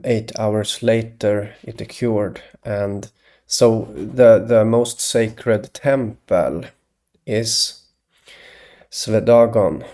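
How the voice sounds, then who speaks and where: Slow, gravelly man. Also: lowish voice, darkish tone. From Sweden. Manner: hesitant, full of pauses and restarts. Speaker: video blogger. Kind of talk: rambling solo monologue to camera.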